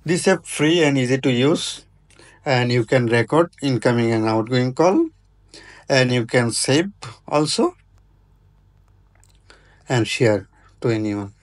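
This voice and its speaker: A man hosting an informal step-by-step tech tutorial, speaking non-native English in short, choppy, halting phrases. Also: medium pitch, expressive. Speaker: tutorial host.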